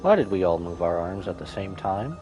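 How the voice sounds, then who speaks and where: Lively, playful male streamer over game music. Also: low voice, brisk. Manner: playful, slangy. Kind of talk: casual live reaction commentary.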